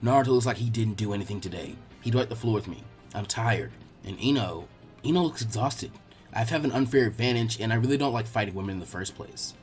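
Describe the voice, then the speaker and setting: A man voicing a lazy, unmotivated teenage boy ninja with a bland, deadpan manner, in a story reading. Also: on the low side, fast, faint music behind, pitched below the performer's own voice, natural voice. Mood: bored.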